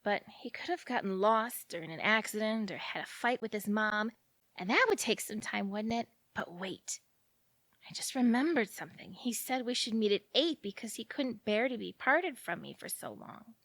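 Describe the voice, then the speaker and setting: A woman's voice: medium pitch, lively intonation, moderate pace, a bit bright, dry recording. A woman acting in audio theatre as an anxious, lovelorn young woman who rambles and questions herself fretfully.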